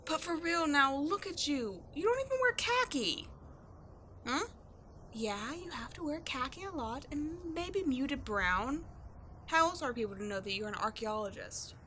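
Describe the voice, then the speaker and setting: A brash tomboy adventurer acted by a woman speaks in a high, bright voice. She is sarcastic, cocky and quick to get defensive, with slangy, exasperated delivery in the manner of an audio roleplay. Clear ambient noise sits behind her. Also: sing-song, full character voice. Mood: teasing. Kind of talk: scripted ASMR-style audio roleplay, one-sided dialogue.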